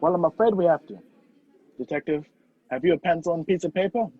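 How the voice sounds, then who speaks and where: A man playing a determined, wrongly accused gentleman on the run in an amateur radio play, over a video call. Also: on the high side, animated, a little fast, slightly rough. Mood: urgent. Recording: dull, lo-fi video-call audio.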